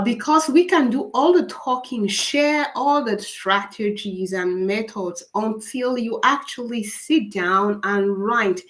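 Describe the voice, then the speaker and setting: A woman with a raspy voice from a cold, hosting a live writing-coaching session, upbeat and encouraging, asking viewers many questions. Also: mid-range voice, fairly bright tone. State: fighting a cold. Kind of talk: live-stream coaching talk.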